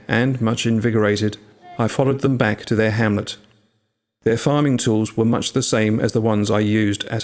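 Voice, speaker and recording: A man reading a scripted first-person tale in a measured, literary storytelling manner. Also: fairly low pitch, darkish tone. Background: music.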